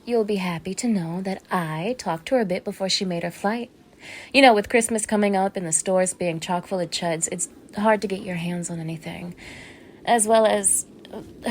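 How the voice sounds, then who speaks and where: A woman's voice: medium pitch, slight background noise, natural voice. A woman acting in an intimate audio roleplay as a teasing, fake-friendly young neighbour with obsessive menace under her casual, coaxing talk. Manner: casual, coaxing, teasing, with small laughs and hushed asides.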